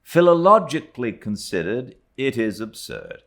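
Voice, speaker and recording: A British man narrating a classic novel as an audiobook, with deliberate, emphatic and measured phrasing. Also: mid-range voice, sing-song, a bit bright. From Britain. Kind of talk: expressive literary audiobook reading.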